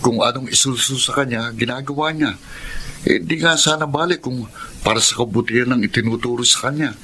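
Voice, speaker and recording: An actor playing a concerned, disapproving grown son and elder brother in a Filipino radio drama, earnest and grumbling. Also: male voice, mid-range voice, brisk, pushed and bright, a little hiss or hum. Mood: concerned, resentful.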